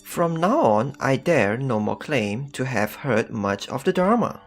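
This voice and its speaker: A man acts as a humble disciple of the Buddha in a sutra audio drama. His mid-pitched voice rises and falls widely. Soft music sits behind it. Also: sing-song, a little slow. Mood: humbled.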